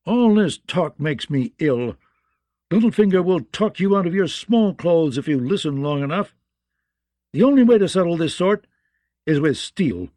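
A man's voice: on the high side, animated, moderate pace, warm tone, dry recording, studio quality, pitched above the performer's own voice, lightly acted. Man as a sneering, hot-headed swordsman lord. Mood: goading. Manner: sneering, goading. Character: contemptuous, hot-headed swordsman nobleman, itching to fight. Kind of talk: fantasy audiobook character acting.

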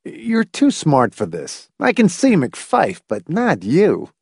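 Audiobook lead male reader: resentful, bewildered husband, indignant, animated intonation. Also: on the high side, slow pace, vocal fry, husky, no echo, clean studio sound, voice pushed up, heavily acted.

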